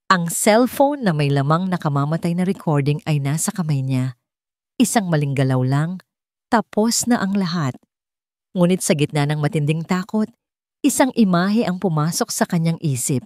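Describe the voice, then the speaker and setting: A woman's voice: on the low side, a little mellow, no room sound, clean studio sound. A woman narrating a scripted story in a dramatic storytelling style.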